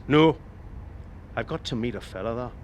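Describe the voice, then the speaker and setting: An actor playing a chatty, wily older ex-cabbie in a radio drama, with a Northern Irish accent and a wry, insistent manner. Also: male voice, medium pitch, expressive, moderate pace, slight background noise, close to an everyday voice. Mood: stubborn. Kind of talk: naturalistic radio drama acting.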